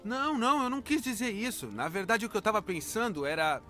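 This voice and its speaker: A man playing an earnest, excitable teenage boy inventor in an animated film dub, with a Brazilian accent. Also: sits high, fast, faint music behind, exaggerated voice. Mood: hesitant. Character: earnest, eager, inventive boy in his teens.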